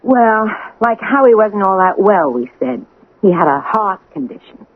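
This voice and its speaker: Anxious crook's accomplice, tinny sound. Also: female voice, mid-range voice, sing-song, a little slow. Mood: practical, cautious. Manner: hesitant, appeasing. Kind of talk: 1980s radio drama acting. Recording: tinny, faintly noisy old radio recording.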